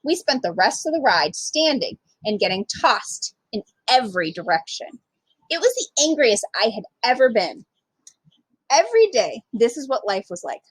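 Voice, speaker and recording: A woman's voice: fairly high pitch, normal speed, breathy edge, no room sound, rough recording. A woman reading a children's picture book aloud as a storyteller, expressively acting out the dialogue. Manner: animated, acts out dialogue.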